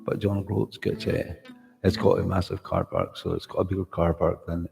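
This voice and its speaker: A man from the north of Scotland with a Highland Scottish accent, chatting casually with livestream viewers in a rambling way full of "uh" fillers. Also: sing-song, moderate pace, gravelly, darkish tone, in a large hall, faint music behind. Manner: rambling, lots of "uh" fillers, friendly asides. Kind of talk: casual chat with live viewers.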